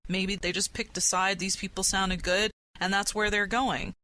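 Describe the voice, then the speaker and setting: A woman with a mid-pitched, bright voice hosts a casual panel discussion. There is a faint layer of noise on the recording. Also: steady tone, moderate pace. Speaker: podcast host and panelist.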